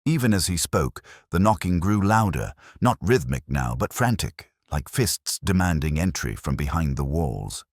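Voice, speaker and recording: A man narrates a detective tale with an extremely low voice. His pitch moves expressively through measured, dramatic phrases, and the sound is dry and close. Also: big pitch swings, dry recording. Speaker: audiobook narrator. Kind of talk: scripted first-person detective story narration.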